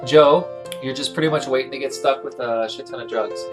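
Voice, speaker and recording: A man's voice: medium pitch, a little fast, music in the background. A man running a tabletop roleplaying game as game master, improvising the narration.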